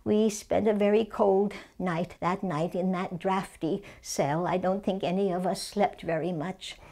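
A woman's voice: on the low side, fairly even intonation, a little slow, warm tone. A woman performing the dramatic, earnest first-person memories of a frail, shaken suffragist in her seventies. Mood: shaken, grave recollection.

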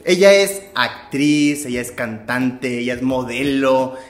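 A man's voice: mid-range voice, takes it slowly, roomy sound. A young man with a northern Mexican accent hosting a casual talk show, upbeat and slangy. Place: living room, indoors. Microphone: stand mic on coffee table.

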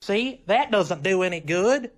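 A woman's voice: mid-range voice, animated, unhurried, music in the background, lightly acted. A woman playing a slangy, matter-of-fact young college musician in an old-time style radio comedy. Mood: blunt, resigned.

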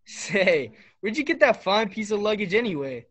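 Young man acting a breezy, high-voiced kid brother. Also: sing-song, normal speed, fairly bright tone, exaggerated voice. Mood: breezy, hurried. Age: young adult. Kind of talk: radio play acting.

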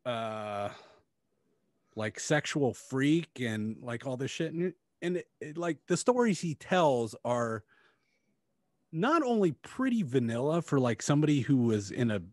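A man's voice: medium pitch, big pitch swings, measured pace, dry recording, clean studio sound. A man in his forties co-hosting a casual review podcast, talking bluntly and critically with plenty of swearing. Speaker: podcast co-host. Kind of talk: casual book review chat. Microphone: close studio mic on stand, headphones.

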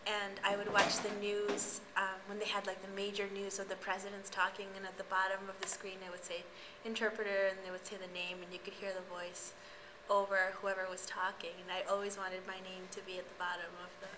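A woman's voice: mid-range voice, completely flat delivery, fairly quick, noisy background. A woman from Peru, a college student, chatting reflectively in an interview with fillers. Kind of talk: casual interview.

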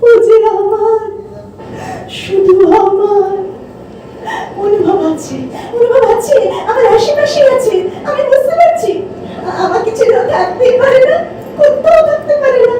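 A guilt-ridden, grieving lover in a melodramatic stage audio play. Her voice is extremely high and tearful, dwelling on repeated words. It carries from far off in an echoing hall. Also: lively intonation, measured pace, crowd noise. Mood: feverish longing. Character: grief-stricken, guilt-ridden woman obsessed with lost lover. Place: multipurpose hall. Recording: noisy, very echoey hall, far off. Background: loud ambient noise.

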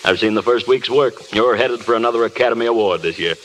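Casting: male actor as kindly middle-aged studio chief, urbane, medium-pitched, faintly crackly vintage radio play. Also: fast talker, fairly bright tone, natural voice. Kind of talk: 1930s radio acting.

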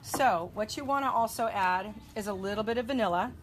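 A woman's voice: mid-range voice, fairly quick, faint music behind. Middle-aged woman, casual cooking demo, talks as she works, outdoor deck, distant camera. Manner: chatty, walks through steps while working. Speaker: cooking demonstrator.

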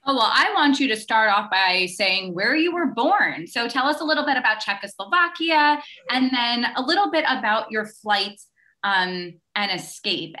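Bright-voiced young woman interviewer. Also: mid-range voice. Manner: chatty with fillers like "like". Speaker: museum education director, interviewer. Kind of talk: interview questions. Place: home room. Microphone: video call.

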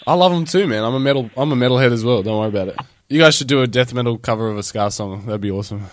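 A man's voice: medium pitch, no echo. A man with an Australian accent hosting a casual radio interview, asking questions with lots of ums and restarts. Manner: halting questions with ums, chatty.

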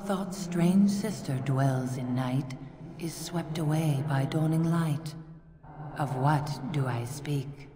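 A mysterious female spirit in a fantasy game. The voice is deep and very slow, solemn and cryptic, trailing long reverb. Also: dark tone, a little hiss or hum. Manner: solemn, deliberate. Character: mysterious ghostly woman, cryptic riddling spirit. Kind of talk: fantasy video game acting.

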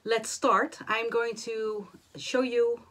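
A woman in her forties, hoarse and a little nasal after the flu, chatting to camera about her stitching projects from a small home craft room, with many fillers and restarts. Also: a little high, level tone, slow pace, fairly bright tone. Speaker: cross-stitch vlogger. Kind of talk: casual chat to camera.

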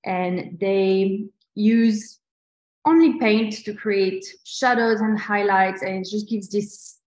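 A woman's voice: mid-range voice, takes it slowly, darkish tone. A woman from New Caledonia with a French accent, chatting enthusiastically as she teaches a live online lettering tutorial. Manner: chatty and enthusiastic, many restarts. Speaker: lettering instructor. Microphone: video call. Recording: echoey video call audio.

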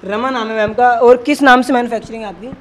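Man in his twenties, high-pitched, video host, presenting to camera, interview questions, repeated answers. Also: steady tone, quick pace, a crowd in the background. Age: 20s. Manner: repeats answers back to viewers. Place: garment factory workroom and sample room. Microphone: handheld mic with windscreen.

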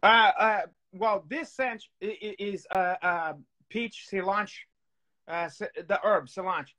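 A man's voice: high-pitched, measured pace, sharp tone. A man in his thirties co-hosting a casual show over a video call, joking and teasing and rambling with many restarts. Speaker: co-host. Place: home room with candlelight.

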